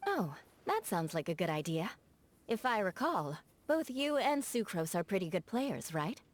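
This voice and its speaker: A woman's voice: medium pitch, very animated, normal speed, natural voice. A woman voicing a sociable, courteous adult character in a video game. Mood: interested.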